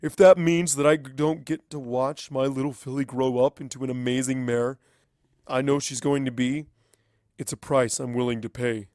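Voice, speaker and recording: A weary storybook wizard stallion, played by a man, grave and firm. He is a resolute father with a middle-pitched voice, heard in a close, dry recording. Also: steady tone, normal speed, darkish tone, no echo, close to an everyday voice. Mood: tender resolve.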